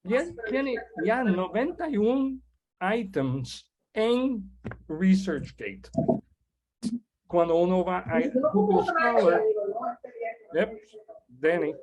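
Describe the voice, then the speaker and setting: Slow halting man, French native speaker. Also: high-pitched, sing-song, a little mellow, poor audio. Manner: halting, many restarts and fillers. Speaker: webinar host introducing a guest. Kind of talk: casual spoken introduction. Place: online video call.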